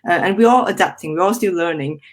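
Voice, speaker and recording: Young woman from Malaysia, lower voice. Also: fast talker. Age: young adult. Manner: friendly, explanatory, many "um"s and repeated words. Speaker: guest engineer. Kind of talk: podcast interview.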